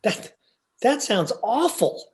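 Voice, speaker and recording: A man's voice: very high-pitched, very animated, slow, exaggerated voice. A man playing an earnest, worried husband, hesitant and exasperated, in old-time radio comedy style.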